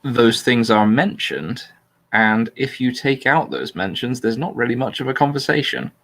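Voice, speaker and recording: A man talking casually through politics, in a measured, questioning way that hedges his claims. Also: medium pitch, fairly bright tone. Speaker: host discussing a political transcript. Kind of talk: casual conversational political discussion.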